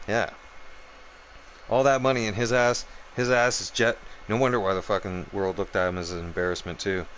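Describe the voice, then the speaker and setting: A man's voice: fairly low pitch, little pitch movement, normal speed, fairly bright tone, slight background noise, rough recording. A man ranting on a live stream, swearing hard and keeps asking "right?" and "okay?". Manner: profane, heated, keeps checking in with "right?" and "okay?". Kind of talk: angry political rant on a live stream.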